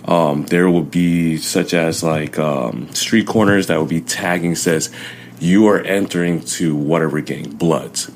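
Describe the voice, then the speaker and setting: Young male guest, very deep voice, halting storyteller, faint hum in the room. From Southern California. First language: Chinese, Vietnamese. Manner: plain, halting storytelling with many fillers. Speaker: guest, CrossFit coach. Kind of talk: personal life story in an interview.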